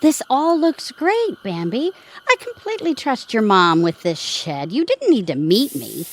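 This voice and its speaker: A woman's voice: on the high side, wildly swooping pitch, a little slow, heavily acted. A woman playing a wry, bossy, scheming wife in a comic audio play, with a dry, sardonic manner. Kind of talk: comic audio theatre acting.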